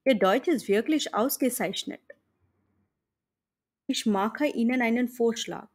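A woman's voice: a little high, slow. A woman with an Indian accent voicing a friendly, businesslike female travel agency manager speaking formally on the phone in a lesson dialogue. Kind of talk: scripted dialogue read for learners.

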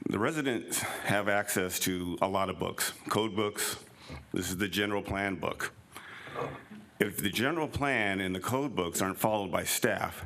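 A man's voice: on the low side, fairly bright tone, slight background noise. A middle-aged man at a council podium mic, speaking as a resident in a frustrated, emphatic way. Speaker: resident and planning commissioner. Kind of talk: public comment. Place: city council chamber at a podium. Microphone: podium gooseneck mic.

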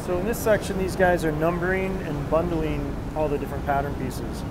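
A man's voice: a little high, steady tone, brisk. A middle-aged man, a denim designer, casually explaining the work as he walks a large factory floor and points things out, recorded on a wearable camera mic. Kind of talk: casual walkthrough narration. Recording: loud machine and air conditioning noise.

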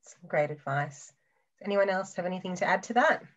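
A young woman with a fairly low voice moderates a video-call panel, upbeat and brisk between speakers. The audio is a touch dull, typical of a call. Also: animated. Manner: upbeat, reads audience questions aloud. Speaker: host.

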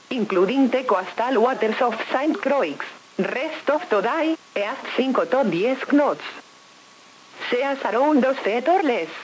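A synthetic female announcer reading an automated marine forecast over the radio in a measured, impersonal way. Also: fairly high pitch, poor audio. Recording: hissy weather radio reception.

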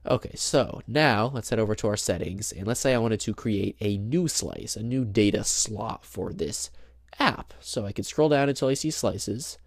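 A young man talks through a phone tutorial at a table, his voice low and a little gravelly. He explains each step plainly, with fillers and the odd embarrassed chuckle. The sound is dry, with no room echo. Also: moderate pace. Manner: chatty, explains step by step with fillers and small self-laughs. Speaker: tech tutorial host. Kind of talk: casual screen-demo walkthrough. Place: indoors at a table, filming a handheld phone. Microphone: camera mic behind the phone.

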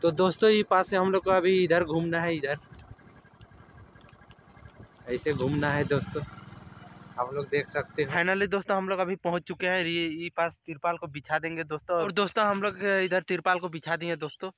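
A man's voice: on the high side, moderate pace, a bit bright, slight background noise. Young man vlogging from farm fields. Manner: chatty, keeps addressing viewers as friends. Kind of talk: casual outdoor vlog narration. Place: outdoors in open farm fields. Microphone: phone selfie camera at arm's length.

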